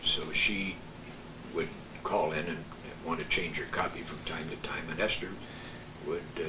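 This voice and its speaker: An older man, a veteran radio broadcaster, reminiscing casually and telling anecdotes in a halting, hesitant way. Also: rumbling low, fairly even intonation. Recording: roomy, distant mic, noisy background.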